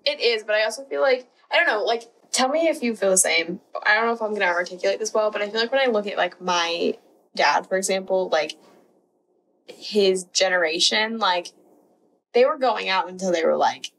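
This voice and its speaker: A woman's voice: medium pitch, a little fast, a bit bright, slight background noise, rough recording. A woman in her twenties co-hosting a podcast, chatting casually and giggling, often searching for words. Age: 20s. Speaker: podcast co-host. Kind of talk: casual chat.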